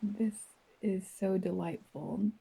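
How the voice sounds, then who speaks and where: Female guided-visualization narrator, very dark soft tone, breathy with some creak, gentle, dreamy, unhurried delivery. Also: medium pitch, fairly even intonation, low-quality recording. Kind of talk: slow first-person guided visualization.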